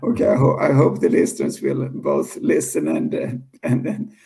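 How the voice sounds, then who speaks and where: A man's voice: medium pitch, huge pitch swings, creaky, warm tone. A man in his fifties from Sweden with a Swedish accent, speaking as a guest in a casual interview, often restarting and correcting himself as he goes. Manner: halting, many restarts and self-corrections, laughs easily.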